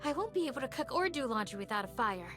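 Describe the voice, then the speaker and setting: A young woman playing a gentle, dreamy exiled princess in a visual novel, with proper, old-fashioned diction. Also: sits high, very animated, fast, over music. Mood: fretting. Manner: genteel, old-fashioned diction, talking to herself.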